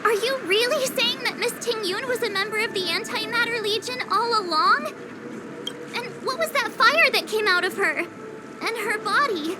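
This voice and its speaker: An actress voicing a lively, curious young woman in a game, talking quickly with many questions. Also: extremely high pitch, heavy background noise. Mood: bewildered. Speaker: game character. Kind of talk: game dialogue voice acting.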